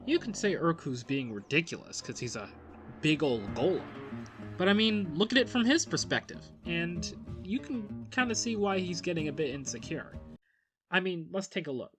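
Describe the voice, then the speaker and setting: A woman's voice: fairly low pitch, normal speed. A woman chatting casually and jokingly as she hosts a video game let's play. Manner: conversational, animated, chatty. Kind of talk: casual jokey game commentary with acted asides. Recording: game music behind the voice. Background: video game music.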